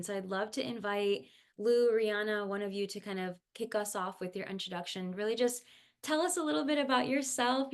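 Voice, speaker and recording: A woman hosting an online panel talk over a video call, speaking casually with fillers and restarts and encouraging the audience. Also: mid-range voice. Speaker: host and founder of a climate career coaching agency. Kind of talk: webinar hosting.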